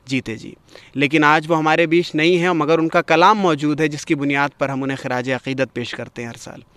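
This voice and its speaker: A man's voice: a little high, a bit bright, clean tone, no room sound. A man hosting a poetry gathering, speaking formally and eloquently in a ceremonious literary style. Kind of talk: formal spoken introduction and commentary in literary Urdu.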